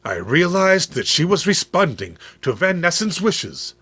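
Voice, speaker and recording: Man, theatrical storyteller, slow pacing, somewhat high voice. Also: sing-song, pushed and bright, breathy edge. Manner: theatrical, measured delivery. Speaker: narrator of horror comics read-along. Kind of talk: dramatic scripted storytelling.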